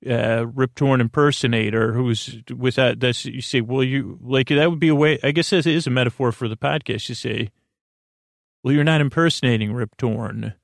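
A man with a medium-pitched, creaky voice hosts a bedtime podcast. He meanders from one tangent to another, halting, repeating and interrupting himself. The recording is dry, with very little room sound. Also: no echo. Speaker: podcast host. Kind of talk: rambling bedtime sleep podcast intro.

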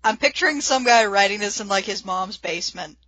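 Bright, forceful woman podcast co-host. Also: medium pitch, lively intonation, brisk, a little hiss or hum, rough recording. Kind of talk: casual chat.

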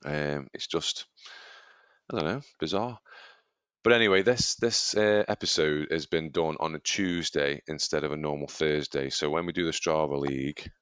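A man co-hosting a running podcast, his voice husky from a cold, chatting casually and laughing often at himself. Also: rumbling low, normal speed, fairly bright tone, dry recording, muffled recording. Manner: laughs often, self-mocking. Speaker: podcast co-host, aspiring runner. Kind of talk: casual chat. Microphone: studio microphone.

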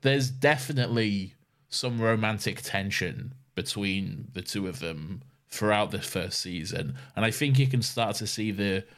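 A man with a somewhat low voice, co-hosting a casual podcast. He talks with a wry, teasing edge and laughs often at his partner. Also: a little slow, fairly bright tone. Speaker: podcast co-host. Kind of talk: casual chat.

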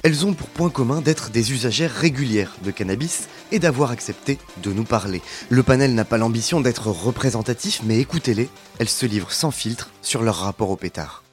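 A man co-hosting a podcast, reading scripted narration with a lively, wry delivery. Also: mid-range voice, a little fast, few pauses, faint music behind. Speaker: podcast co-host.

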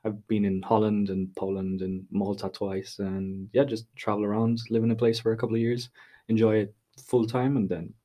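A man's voice: lowish voice, flat delivery, moderate pace, dark tone. A young man from Spain with a slight Irish accent, chatting casually and telling stories as a podcast guest. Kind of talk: casual conversational storytelling.

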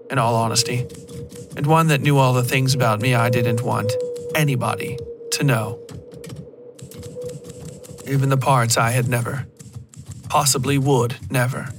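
A man reading a first-person horror story as a scripted narration, in a measured, literary storytelling manner. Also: medium pitch. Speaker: narrator of a fiction podcast.

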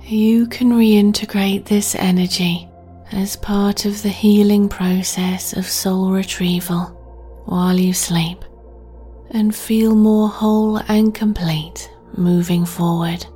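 Soft breathy lady doing sleep meditation, super slow n gentle, whispery, music behind her. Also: medium pitch, steady tone. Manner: gentle, unhurried, long pauses. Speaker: meditation guide and podcast host. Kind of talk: guided sleep meditation, soothing narration.

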